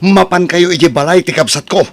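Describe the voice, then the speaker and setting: A man's voice: high voice, fairly quick. A man playing an irritable grown son who scolds his parents in a radio drama. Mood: exasperated. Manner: exasperated, scolding.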